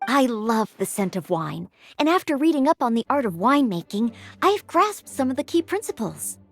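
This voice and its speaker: A woman's voice: on the high side, big pitch swings, normal speed. A woman voicing a young, dutiful maid knight in a video game, speaking in an earnest, courteous and precise way. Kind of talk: video game character acting.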